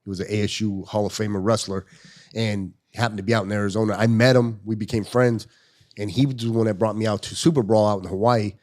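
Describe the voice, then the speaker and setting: A man's voice: on the low side, fast talker. A man in his fifties, a podcast guest telling stories in casual chat and acting out the quotes. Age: 50s. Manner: animated storyteller, acts out quotes.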